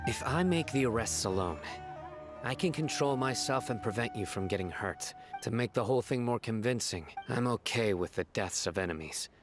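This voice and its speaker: An actor playing a stern, principled young desert law enforcer in a video game, speaking gravely and formally. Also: male voice, mid-range voice, expressive, normal speed, over music, close to an everyday voice. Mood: serious. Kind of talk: video game character acting.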